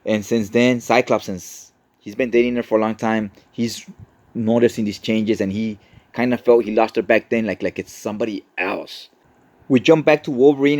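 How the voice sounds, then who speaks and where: A man with a mid-pitched voice gives a casual recap as a host. He explains things conversationally, like talking a friend through a story, often checking that the listener follows. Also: a little mellow. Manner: conversational, explanatory, often adds tag questions. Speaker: comic book explainer, host.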